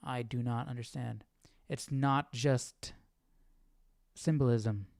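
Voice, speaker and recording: A man hosting a casual live Bible study, reading aloud and thinking out loud in an informal, slangy way. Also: mid-range voice, steady tone, slow pace, creaky, dry recording, clean studio sound.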